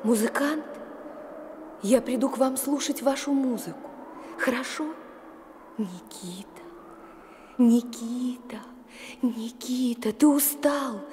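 A woman's voice: on the high side, takes it slowly, pauses often, a little mellow. An actress playing a tender, dreamlike woman, insistently calling in a radio drama. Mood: tender, haunting. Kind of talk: Soviet radio drama acting. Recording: long reverb, faintly noisy old recording.

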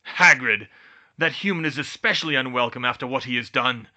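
A man's voice: medium pitch, brisk, sharp tone. A man voicing an angry, haughty centaur for a story, with a nasty, menacing tone and stern, formal speech. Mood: furious, roaring. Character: angry, haughty male centaur, hostile to humans. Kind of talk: audiobook character voice.

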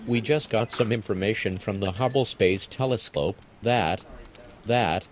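Man acting grave male scientist, fairly low pitch, stilted, hesitant, synthetic, amateur disaster drama. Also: measured pace, natural voice. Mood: grave, worried. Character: grave male space center worker bearing bad news.

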